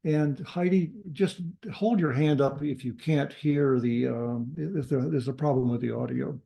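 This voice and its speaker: Gravelly man presenting on a video call. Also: medium pitch, measured pace, mellow. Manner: rambling with many fillers and restarts. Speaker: carving club presenter.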